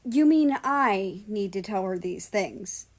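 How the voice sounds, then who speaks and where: A woman's voice: medium pitch, animated, slow. A woman voicing a bitter, guilt-ridden female guardian spirit, snapping and sarcastic in a story reading. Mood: self-blaming, angry.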